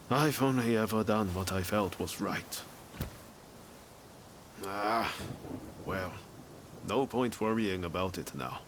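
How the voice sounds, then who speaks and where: An actor playing an easygoing, principled young samurai in a video game cutscene, speaking in a casual, laid-back way. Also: male voice, fairly low pitch, fairly bright tone, a little hiss or hum.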